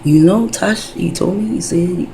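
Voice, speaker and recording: A woman's voice: lowish voice, a little slow, gravelly, mellow, slight background noise, low-quality recording. A woman in her fifties talking in a rambling casual monologue, wandering between thoughts, full of fillers and profanity. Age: 50s.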